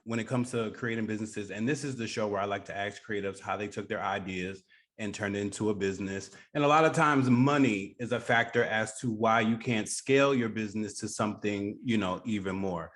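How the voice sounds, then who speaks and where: A man's voice: fairly low pitch, steady tone, brisk, fairly bright tone. A man hosting a casual radio interview, speaking in an agreeable, conversational way. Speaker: radio show host.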